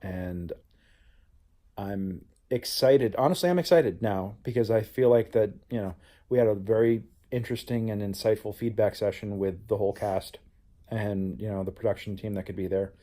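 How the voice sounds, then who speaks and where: A man's voice: fairly low pitch, moderate pace, mellow. A man talking reflectively in a personal vlog as a playwright, earnest and introspective. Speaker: playwright, show host.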